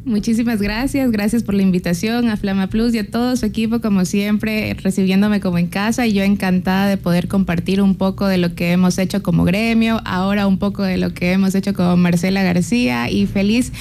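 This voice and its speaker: A woman's voice: mid-range voice, fairly even intonation, fairly quick, hardly any pauses, darkish tone, a little hiss or hum. A woman in her thirties with an Ecuadorian accent, answering interview questions in a friendly, proud way. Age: 30s. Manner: friendly, proud and laughing easily. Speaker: business chamber president.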